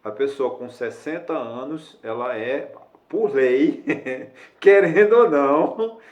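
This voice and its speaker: Man in his sixties, lawyer explaining the law, rambling with fillers, middle pitch, echoey room. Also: slow pace, a little mellow. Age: 60s. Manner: rambling, many fillers and self-corrections. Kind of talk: casual explanatory video lesson.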